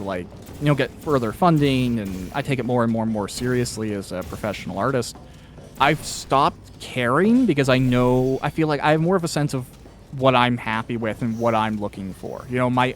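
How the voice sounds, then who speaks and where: A man's voice: medium pitch, a little hiss or hum. A man who works as an author, interviewing someone casually in a candid, rambling, self-reflective way.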